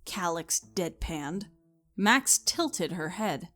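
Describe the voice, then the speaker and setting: A woman's voice: lowish voice, expressive, slow pace, fairly bright tone. Woman narrating a story over music. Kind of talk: scripted dramatic story narration.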